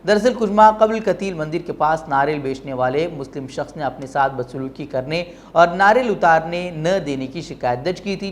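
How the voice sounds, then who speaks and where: A news presenter with an Indian accent reading a bulletin with some commentary. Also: mid-range voice. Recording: clear background noise.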